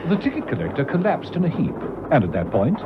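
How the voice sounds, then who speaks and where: A man's voice: medium pitch, huge pitch swings, fast talker. A man narrating a spy radio serial in an urgent, dramatic storytelling manner. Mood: suspenseful. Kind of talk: scripted suspense radio narration. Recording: muffled old radio, loud train noise.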